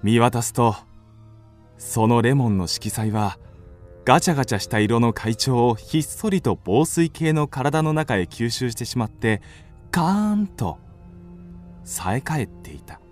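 A man's voice: medium pitch, expressive, measured pace, darkish tone, faint music behind, lightly acted. An actor playing a moody, melancholic young man with restless fancies, narrating a literary radio drama in the first person. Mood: rising excitement.

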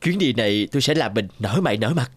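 A man voicing a trusting, eager peasant husband in an animated folk tale. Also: medium pitch, fast talker, slight background noise, natural voice.

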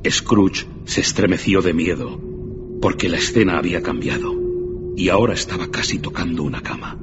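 An actor as the grave narrator of a radio drama ghost tale, telling it in a measured, solemn way. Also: male voice, deep voice, lively intonation, loud music behind the voice. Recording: muffled, with clear ambient noise.

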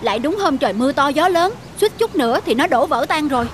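A woman voicing an earnest, easily upset young married daughter-in-law in an animated moral tale. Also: sits high, fast, a little hiss or hum. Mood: startled, puzzled. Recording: background music under the voice.

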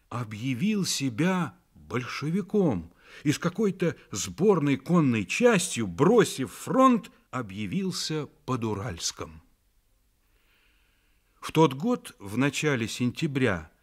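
A man narrating a literary radio play as the author's voice, measured and reflective, with deliberate pauses. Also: medium pitch, a bit bright. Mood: solemn storytelling. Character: authorial narrator of a family novel, reflective and solemn. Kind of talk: scripted literary narration, dramatic radio reading.

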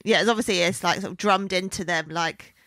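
A woman's voice: on the low side, fairly quick, bright, forceful tone, no echo, clean studio sound. A woman co-hosting a podcast, giving frank, opinionated takes in casual chat. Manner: frank, opinionated, laughs easily. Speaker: podcast co-host. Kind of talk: casual chat reviewing a TV show.

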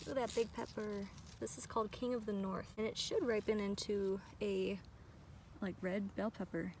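A woman's voice: medium pitch, fairly even intonation, normal speed, darkish tone, a little hiss or hum, low-quality recording. An American woman giving a casual, chatty and enthusiastic walk-through narration as a vlogger, recorded outdoors on a handheld phone. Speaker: vlogger giving a garden tour. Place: outdoors in a backyard garden. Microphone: handheld phone behind the camera.

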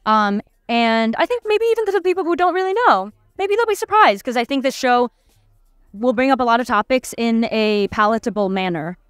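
A young woman in her twenties talks into an interviewer's handheld mic at a premiere. Her voice is smooth and clear, the sound dry and close. She muses through her answers with fillers and restarts. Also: on the high side, expressive, a little fast, dry recording. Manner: thoughtful, many fillers and restarts. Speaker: actor interviewed on a red carpet. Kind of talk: casual red-carpet interview. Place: busy premiere red carpet, indoors. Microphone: handheld mic held by interviewer.

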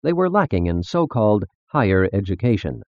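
A man with a low voice narrates a religious text formally. His intonation moves up and down a good deal. The sound is narrow and phone-like. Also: very animated, fairly quick, no echo. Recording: muffled phone-like band-limited audio.

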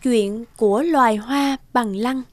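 A woman's voice: a little high, takes it slowly, a little mellow, no room sound. A woman narrator reading a scripted fairy tale as a storyteller. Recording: music behind the voice.

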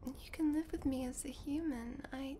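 A woman playing a sweet, shy young vampire girlfriend in an intimate ASMR roleplay, whispering softly and hesitantly. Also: sits high, steady tone, normal speed, a little hiss or hum. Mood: hesitant, caring. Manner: soft, breathy whispering, hesitant and giggly. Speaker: roleplay voice performer.

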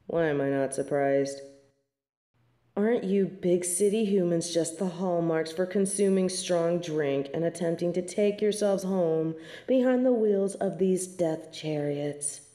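Low, slow, haughty angel woman acting. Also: warm tone, echoey room. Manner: snobbish, scornful, formal and archaic phrasing. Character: haughty, disdainful female angel judging human souls. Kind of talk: scripted audio roleplay monologue.

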